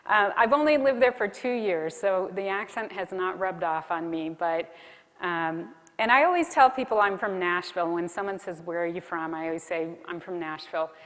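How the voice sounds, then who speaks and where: A woman's voice: on the low side, moderate pace, a bit bright. Woman presenting in a reverberant hall. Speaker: keynote speaker. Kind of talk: conference presentation on marketing to a live audience. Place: large conference room.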